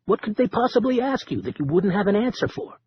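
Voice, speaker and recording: A man's voice: sits high, sing-song, fast talker, breathy edge, no echo, highs cut off, voice pushed up, exaggerated voice. A man voicing an eager, quirky male friend who talks in an upbeat, encouraging way.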